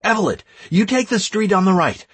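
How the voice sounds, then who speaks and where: Man acting high-strung young raid leader shouting orders. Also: wildly swooping pitch, fairly quick, husky, exaggerated voice. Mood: urgent, commanding. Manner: commanding, forceful shouting. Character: young male gamer raid leader barking urgent battle orders.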